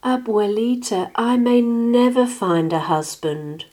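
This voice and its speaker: Storybook cockroach heroine read by a woman: young, dainty, courteous female, sweetly spoken and higher than the narration. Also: expressive, takes it slowly, a little mellow. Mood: dejected, reluctant. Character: sweet, polite, beautiful young female cockroach, aged twenty.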